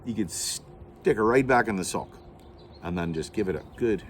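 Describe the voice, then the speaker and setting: Deep-voiced theatrical male pipe host. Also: expressive, moderate pace, noisy background. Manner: theatrical, playful showman with mock announcements. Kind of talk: playful unboxing vlog.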